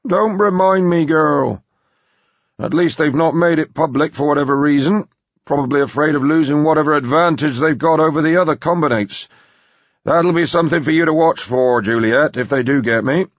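A man's voice: fairly high pitch, normal speed, no room sound, highs cut off, pitched above the performer's own voice. A man voicing a blunt, domineering old tycoon grandfather with a sardonic, emphatic manner in an audiobook. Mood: gruff, emphatic. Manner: blunt, emphatic, mildly coarse.

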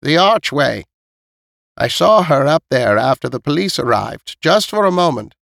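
A man's voice: on the high side, big pitch swings, normal speed, gravelly, fairly bright tone, no room sound, clean studio sound, voice pushed up, full character voice. A man voicing a stern, blunt elderly woman who knows more than others, in an audiobook story. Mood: grave, matter-of-fact.

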